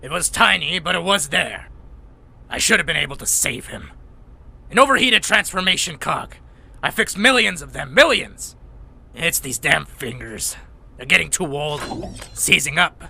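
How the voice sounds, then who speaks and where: A man voicing a grumpy, aging robot medic in a motion comic, blunt, gruff and world-weary. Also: a little high, big pitch swings, normal speed, pushed and bright, full character voice. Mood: weary, resigned. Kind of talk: animated motion-comic dialogue.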